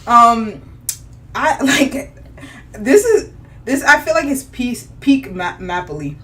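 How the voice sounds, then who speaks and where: A woman co-hosting a casual podcast, animated and quick to laugh, with a voice that turns raspy at times. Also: a little high. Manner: animated, exclaiming, laughing often. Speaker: podcast co-host. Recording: distant mic, faint ambient noise.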